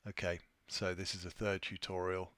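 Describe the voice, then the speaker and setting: A man presenting an informal software tutorial, thinking aloud in a somewhat hesitant way as he demonstrates. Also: low-pitched, level tone, normal speed, smooth, no room sound. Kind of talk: informal screen-share walkthrough.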